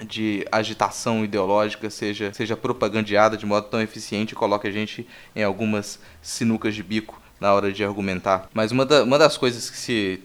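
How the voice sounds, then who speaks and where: A man's voice: medium pitch, a bit bright. A Brazilian man hosting a podcast, giving articulate, wry commentary in a discursive, conversational way. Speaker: podcast host.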